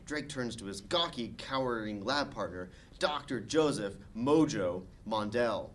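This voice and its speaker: A man's voice: mid-range voice, animated, measured pace. Man narrating a script at a table read. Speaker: narrator reading stage directions.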